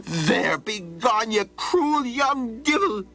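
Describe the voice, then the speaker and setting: A man voicing a greedy old farmer who blusters and rages in a strained, high voice. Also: big pitch swings, unhurried, slightly rough, exaggerated voice. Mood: furious.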